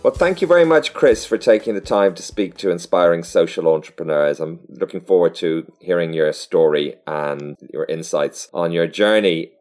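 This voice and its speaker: Male host, deep voice, polite and formal delivery. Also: normal speed, few pauses, a little mellow, soft background music. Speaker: interview host. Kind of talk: scripted introduction and interview questions.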